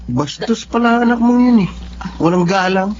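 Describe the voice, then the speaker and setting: High-pitched, sly Filipino male schemer. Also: animated, moderate pace, darkish tone. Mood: scornful. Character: sly, manipulative younger lover, a jobless drinker, falsely sweet. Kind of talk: Filipino radio drama acting. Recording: dull lo-fi audio with clear noise, hum.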